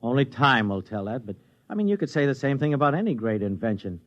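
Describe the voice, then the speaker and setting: A man's voice: mid-range voice, expressive, fast talker, lightly acted. Flustered, stammering businessman on muffled old radio, played by a man. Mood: pleading. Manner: stammering, agitated, pleading. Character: flustered, desperate, broke businessman, a robot's exasperated boss. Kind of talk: 1950s radio comedy-drama acting. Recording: dull, band-limited vintage radio recording.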